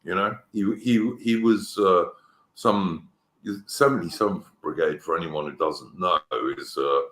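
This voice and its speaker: A man's voice: low-pitched, unhurried, a bit bright. A man co-hosting a casual political chat, opinionated and rambling, often restarting his sentences. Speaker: podcast co-host. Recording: echoey room.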